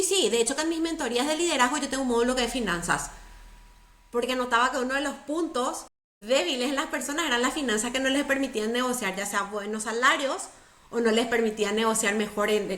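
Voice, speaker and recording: A middle-aged woman talks earnestly as an interview guest and repeats key words for emphasis. Her voice sits a little high for a woman. The room around her gives a clear echo. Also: fast talker, fairly bright tone, slight background noise. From Paraguay. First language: Spanish. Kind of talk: conversational interview.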